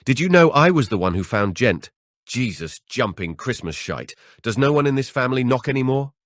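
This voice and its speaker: A man performs a gruff old country patriarch from a horror audiobook. He is ornery and paranoid, telling his tales with cranky emphasis. The sound is dry and close. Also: medium pitch, big pitch swings, moderate pace, fairly bright tone, no room sound, pitched above the performer's own voice. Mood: irritated. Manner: cranky, emphatic, swearing storyteller. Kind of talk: dramatic audiobook character voice.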